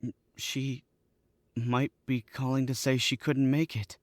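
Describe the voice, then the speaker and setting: A man's voice: mid-range voice, little pitch movement, unhurried, no room sound, close to an everyday voice. A young man playing a frightened, stammering accomplice in a 1940s-style radio drama. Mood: panicky. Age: young adult. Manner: stammering and panicky.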